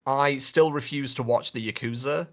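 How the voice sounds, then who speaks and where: A man co-hosting a podcast in casual chat, speaking in an informal, opinionated and animated way. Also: mid-range voice, moderate pace. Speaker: podcast co-host. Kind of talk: casual pop culture chat.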